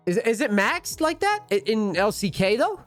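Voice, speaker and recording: A man's voice: high-pitched, sing-song, normal speed, fairly bright tone, faint music behind. A man in his thirties giving casual, rambling commentary as a gaming coach, full of slang and swearing. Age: 30s. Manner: rambling and profane, loose slang. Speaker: League of Legends coach and streamer.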